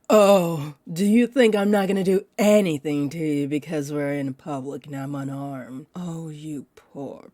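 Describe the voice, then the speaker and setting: A woman's voice: sits low, warm tone, no echo, clean studio sound. An actress playing a teasing, possessive female vampire in an audio roleplay, condescending and confident, speaking straight to the listener. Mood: menacing, condescending. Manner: smug, taunting, unhurried.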